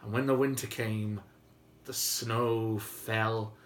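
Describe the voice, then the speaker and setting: A man telling a story informally and whimsically, with dramatic pauses and emphasis. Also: on the low side, fairly even intonation. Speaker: storyteller and video host. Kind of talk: informal improvised oral storytelling. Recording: roomy, faint background noise.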